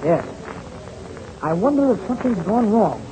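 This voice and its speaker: An actor playing an eager, boyish young American pilot in a 1930s radio adventure serial, with a wholesome, exclamatory manner. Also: male voice, sits high, very animated, normal speed, mellow. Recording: noisy, hissy old radio recording.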